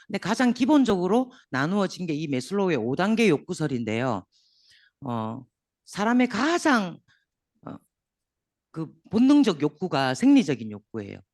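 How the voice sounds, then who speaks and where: Hoarse guy lecturing business owners, voice bouncing up and down a lot, dry close sound. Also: high voice, no room sound. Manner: lively, jokes with the audience, asks questions. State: hoarse from a sore throat. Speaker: seminar lecturer. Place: lecture room in front of an audience.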